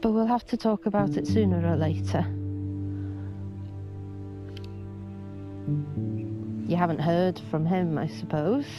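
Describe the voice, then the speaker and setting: A woman's voice: fairly low pitch, fairly quick, lightly acted. An actress playing a wounded middle-aged mother in naturalistic film dialogue, pointed and dryly sarcastic. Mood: probing, concerned. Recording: dull sound, loud music behind. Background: instrumental music.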